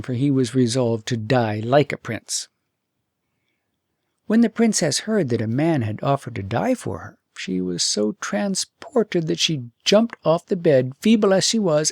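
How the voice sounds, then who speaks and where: Male audiobook narrator for fairy tales, mid-pitched with some gravel, expressive measured read, dry close sound. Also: no echo. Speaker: audiobook storyteller and host. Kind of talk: scripted storytelling narration of a fairy tale.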